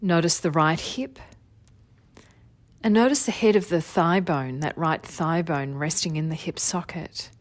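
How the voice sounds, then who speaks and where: A woman leading a guided meditation as a teacher, giving gentle, soothing body-scan instructions in an unhurried way. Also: sits low, no room sound. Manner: soothing, unhurried, gentle instruction with long phrases trailing softly.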